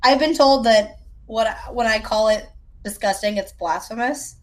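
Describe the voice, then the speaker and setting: A woman's voice: on the high side, normal speed. A woman from South Carolina with an American accent, chatting casually in a playful, self-deprecating, joking way. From South Carolina, United States. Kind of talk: casual chat.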